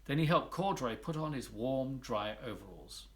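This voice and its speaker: Animated man reading a children's story. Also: mid-range voice, normal speed. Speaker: volunteer storybook reader. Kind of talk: expressive children's story narration.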